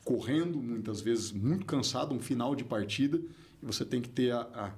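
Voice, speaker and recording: A Brazilian man from the São Paulo state, around his forties, talking as a podcast guest in an animated, emphatic way and repeating his points for stress. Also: mid-range voice, normal speed. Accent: São Paulo state Portuguese. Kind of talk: casual interview talk.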